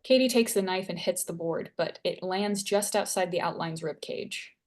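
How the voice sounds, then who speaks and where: A woman's voice: lowish voice, normal speed, a little mellow. A woman reading stage directions aloud as scripted narration at a screenplay table read.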